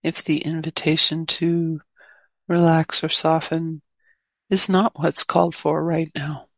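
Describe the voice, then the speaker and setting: A woman meditation teacher speaks very slowly in a slightly low voice. Her phrasing is gentle and spacious, with long pauses between short instructions. The sound is dry and close. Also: little pitch movement, no room sound. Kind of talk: guided meditation.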